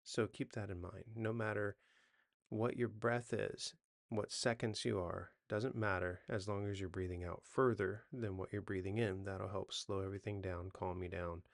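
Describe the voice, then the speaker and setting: A man's voice: fairly low pitch, steady tone, moderate pace, gravelly, no echo, clean studio sound. A man in his forties giving sleep advice off the cuff, talking conversationally, correcting himself and often checking in with the listener. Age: 40s. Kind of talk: unscripted how-to talk to camera.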